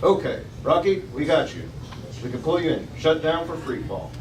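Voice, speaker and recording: A man's voice: fairly high pitch, lively intonation, moderate pace, close to an everyday voice. A man playing a wry, teasing space station ranger, reading lines from a retro space serial. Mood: friendly, brisk. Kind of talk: 1950s space serial dialogue, read aloud. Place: busy restaurant table read. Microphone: distant camera microphone. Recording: echoey noisy restaurant, far from camera.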